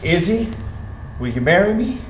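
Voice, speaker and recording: Wildly animated, earnest awkward suitor. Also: male voice, sits high, normal speed. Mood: pleading. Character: earnest, awkward, adoring physicist suitor, Zygmunt. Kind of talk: live stage play acting. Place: theatre stage. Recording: echoey theatre stage recording, room noise.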